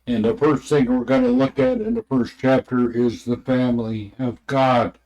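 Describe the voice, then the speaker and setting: A man reading an old religious memoir aloud in a halting way, stumbling over words and names. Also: mid-range voice, expressive, a little mellow, muffled recording.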